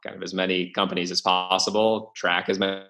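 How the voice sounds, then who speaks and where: Low gravelly-voiced young man. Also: flat delivery, brisk, bright, forceful tone. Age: young adult. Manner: hesitant, many fillers and restarts. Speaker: podcast guest, venture investor. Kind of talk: casual interview talk.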